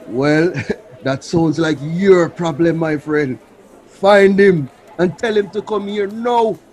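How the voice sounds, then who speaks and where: An actor playing a pushy, slick scam boss in a radio drama. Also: male voice, a little high, takes it slowly, a little mellow. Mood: pushy, smug.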